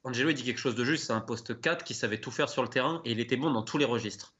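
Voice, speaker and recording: Fast-talking journalist, dull audio. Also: male voice, medium pitch, a bit bright. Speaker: guest journalist. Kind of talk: studio sports debate.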